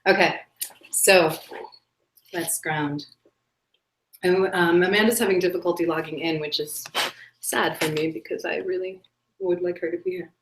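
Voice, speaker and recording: Low, echoey woman hosting a live circle. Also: steady tone, normal speed, long pauses, noisy background. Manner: soft-spoken, dreamy, many fillers. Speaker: host. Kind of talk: live spiritual broadcast, casual chat. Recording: echoey room.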